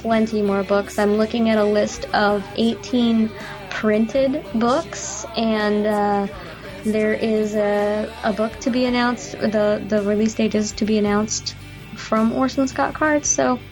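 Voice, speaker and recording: A woman's voice: medium pitch, steady tone, takes it slowly, music in the background, rough recording. A woman co-hosting a podcast in an informal, chatty style. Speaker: podcast co-host. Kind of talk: casual chat.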